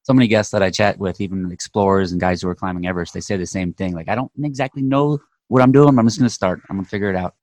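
A man's voice: low-pitched, racing, few pauses, a little mellow, dry recording. A man hosting an interview show, introducing and questioning his guest in an enthusiastic manner. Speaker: podcast host interviewing a guest.

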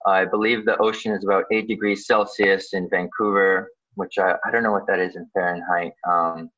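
A man from Canada, born in Ukraine, answering interview questions casually, informally and haltingly as he thinks aloud. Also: low voice, steady tone, bright, forceful tone. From born in Ukraine, grew up in Canada. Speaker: guest, underwater photographer and dive professional. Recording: slightly dull, lo-fi sound.

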